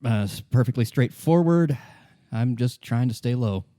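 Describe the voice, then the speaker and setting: A man's voice: mid-range voice, expressive, dark tone, dry recording, natural voice. Man playing a guarded, wry young woman, very dry sound. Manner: polite, evasive, halting.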